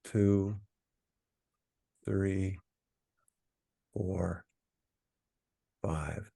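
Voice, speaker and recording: Man, meditation teacher, low pitch, very unhurried, calm soothing instruction, frequent pauses. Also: gravelly, slightly rough. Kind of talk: guided meditation.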